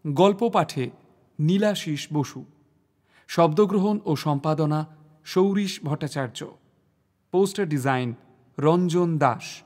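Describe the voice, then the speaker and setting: A man's voice: on the high side, takes it slowly, pauses often, darkish tone. A man reading scripted credits as the presenter of an audio story. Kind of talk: measured scripted credits announcement. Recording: long hall-like reverb.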